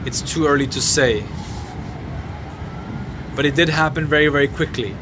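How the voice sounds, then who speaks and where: Halting man on noisy phone livestream. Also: fairly high pitch. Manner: halting and sombre, many restarts and fillers. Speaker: livestreamer reporting a fire. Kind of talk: live phone stream, answering viewer comments. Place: outdoors in a city compound at night. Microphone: phone mic. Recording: noisy outdoor phone stream.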